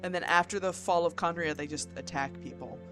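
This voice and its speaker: A woman's voice: on the low side, fairly even intonation, brisk, a bit bright, faint music behind. Casual, rambling woman game streamer. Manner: informal, rambling, muses aloud. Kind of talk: casual livestream commentary.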